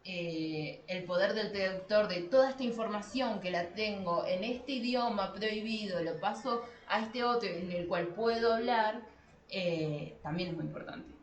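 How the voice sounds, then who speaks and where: A woman's voice: mid-range voice, unhurried, muffled recording. Female podcast co-host, Argentine Spanish accent, mid-range voice, casual discussion, audible room echo. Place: small cramped room below a staircase. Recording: roomy echo of a small room.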